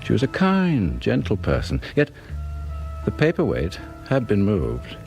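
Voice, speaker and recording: A man's voice: fairly low pitch, wildly swooping pitch, unhurried, creaky, a little mellow, soft background music, full character voice. A British man playing an anxious, suspicious professor who narrates a radio drama in a formal, brooding way. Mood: suspicious, uneasy. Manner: formal, brooding, swinging in emphasis. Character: anxious, suspicious professor narrating his own unravelling marriage. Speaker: narrator and lead actor.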